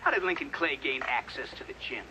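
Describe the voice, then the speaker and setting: Actor as dull-sounding, insistent official questioner. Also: male voice, medium pitch, expressive, fairly quick, noisy background, natural voice. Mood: probing. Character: probing male official questioning a witness at hearing. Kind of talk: formal questioning in game cutscene.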